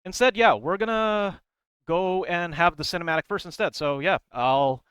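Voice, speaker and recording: A male video creator talks through his commentary in a casual, rambling way. His voice is clear and resonant, emphatic on key words, with a very dry sound. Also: high voice, moderate pace, a bit bright, no echo. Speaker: video creator explaining game lore.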